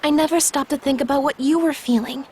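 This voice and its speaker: A woman's voice: high-pitched, steady tone, quick pace, a little mellow, lightly acted. A woman playing an earnest, kindhearted young woman in a video game. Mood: sincere, gentle. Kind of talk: scripted video game voice acting.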